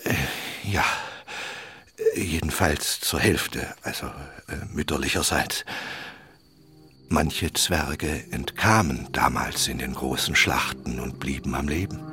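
An old, kindly half-dwarf tutor in a children's radio play. The voice is extremely low and slow, solemn and wistful, with clear music behind it. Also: male voice, sharp tone, full character voice. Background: clear background music.

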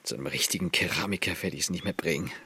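Modest hobby potter, deep voice, husky, wry self-deprecation, radio drama dialogue. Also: male voice, fast, bright, forceful tone, heavily acted. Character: modest, self-deprecating male amateur potter showing his work.

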